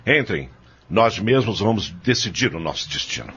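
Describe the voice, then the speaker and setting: A man's voice: lowish voice, sing-song, moderate pace, muffled recording, music in the background. A man playing a vengeful, domineering criminal in an audio drama, speaking with contempt and command. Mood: cold defiance. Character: domineering, vengeful criminal eldest brother, farm heir.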